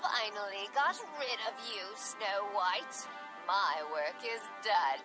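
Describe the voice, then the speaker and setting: A woman voicing a vain, jealous, scheming evil queen in a children's storybook tale, with an imperious, deliberate manner. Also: mid-range voice, lively intonation, over music, poor audio. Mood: gloating.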